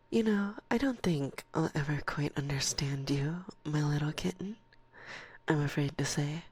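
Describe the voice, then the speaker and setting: Low, breathy forest witch, acted by a woman. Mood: fond exasperation. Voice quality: soft, breathy, often slipping into whisper. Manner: slow, deliberate, teasing and condescending. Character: assertive forest witch, teasing, stern yet fond mentor. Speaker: ASMR roleplay performer. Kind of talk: binaural ASMR roleplay, soft and whispery. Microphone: close binaural microphone.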